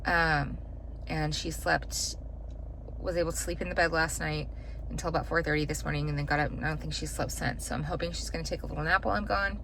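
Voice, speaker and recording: Lowish-voiced woman rambling on in a casual vlog, noisy background with traffic. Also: steady tone, quick pace, vocal fry, fairly bright tone. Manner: chatty, rambling day updates. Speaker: vlogger. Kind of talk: casual vlog talking to camera.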